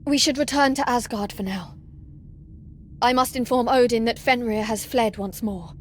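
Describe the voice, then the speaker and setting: Woman playing a high-voiced, stern valkyrie. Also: moderate pace, slight background noise, lightly acted. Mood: troubled, solemn. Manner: formal, commanding, solemn. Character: proud, stern young warrior valkyrie serving a god. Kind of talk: scripted video game drama. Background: dramatic music.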